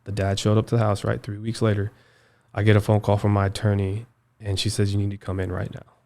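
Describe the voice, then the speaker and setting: An American man in his twenties recounting a personal story as a podcast guest, halting and emphatic, often repeating himself. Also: fairly low pitch, monotone, creaky, mellow. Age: 20s. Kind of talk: personal storytelling in a casual interview.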